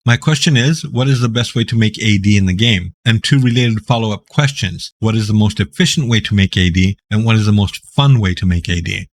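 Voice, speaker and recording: Casual gaming YouTuber, a man from Greece, low raspy voice, easygoing and jokey. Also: a little fast, few pauses. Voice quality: deep, raspy. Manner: laid-back, joking, rambling. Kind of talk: casual Q&A reading viewer questions.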